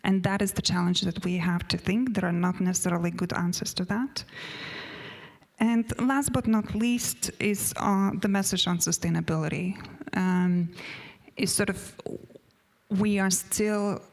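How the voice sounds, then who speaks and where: A woman's voice: medium pitch, steady tone, measured pace, vocal fry. A woman with a Lithuanian accent, a non-native English speaker giving a conference panel presentation from notes, with many hesitations and restarts.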